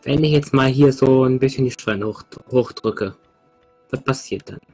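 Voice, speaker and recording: A man with a husky voice chatting in a laid-back way during a game session. He thinks aloud about his moves at a middle pitch. Faint music runs behind him. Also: normal speed, mellow. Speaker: let's play co-host. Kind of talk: casual gaming chat.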